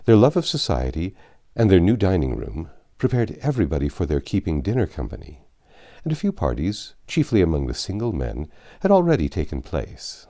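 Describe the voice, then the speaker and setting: A man with a deep, mellow voice reads classic fiction aloud. His delivery is measured and literary, with expressive phrasing and a wide, animated swing in pitch. It has the manner of an audiobook narrator. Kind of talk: reading a classic novel aloud.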